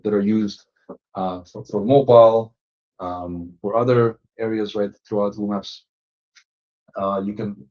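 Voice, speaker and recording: A man presenting a software webinar, explaining settings step by step with many fillers and restarts. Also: on the low side. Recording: distant, muffled lo-fi audio.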